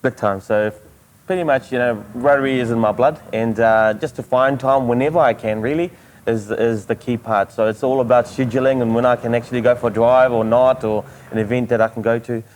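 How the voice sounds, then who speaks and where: A man with a New Zealand accent, an event organizer, answering interview questions in an earnest, halting way with many fillers. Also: mid-range voice, fairly even intonation, darkish tone, slight background noise. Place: outdoors at a motorsport event.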